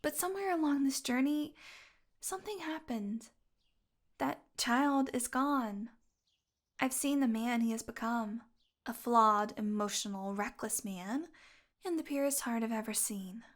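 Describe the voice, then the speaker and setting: A woman playing a possessive fantasy healer in an audio roleplay, tender and secretly bloodthirsty, speaking softly and deliberately. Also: fairly high pitch. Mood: wistful confession. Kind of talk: intimate audio roleplay monologue.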